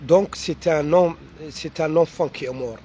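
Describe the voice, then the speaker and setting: Halting Mauritanian man with an accent. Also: on the high side, dark tone, slight background noise. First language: not French. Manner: halting, many fillers and repeated restarts. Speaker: writer answering audience questions. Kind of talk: unscripted answers to online questions.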